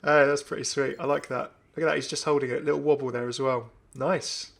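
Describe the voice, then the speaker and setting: A man's voice: mid-range voice, steady tone, brisk, creaky. A young man giving casual, enthusiastic commentary on a video game. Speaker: gaming video host.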